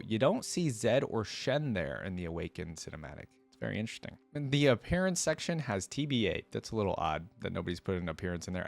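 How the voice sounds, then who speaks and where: A man's voice: fairly low pitch, gravelly, no echo. A man hosting a reaction video, reading aloud and adding casual, informal commentary as he thinks aloud.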